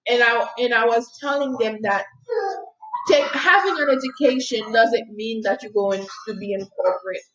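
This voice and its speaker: Healer, woman, higher register, soft lilting tone, emphatic motivational storytelling, faint background noise. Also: normal speed, lo-fi sound. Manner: earnest and emphatic, often checking in with "okay?". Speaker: spiritual healer and mindset coach. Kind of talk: motivational talk with personal story.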